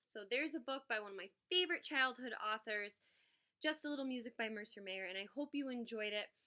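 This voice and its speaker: A woman with a bright, fairly high voice reads a children's storybook like a teacher. She is expressive and pauses to explain things directly to young listeners. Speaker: music teacher reading aloud to pupils. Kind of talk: children's storybook read-aloud with asides.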